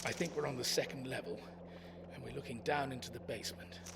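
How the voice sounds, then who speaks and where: Male actor as elderly ex-serviceman, care home resident, practical and urgent, audio drama, rumbly noisy recording. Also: steady tone, fast talker, gravelly, far from the mic, lightly acted. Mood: wary, searching.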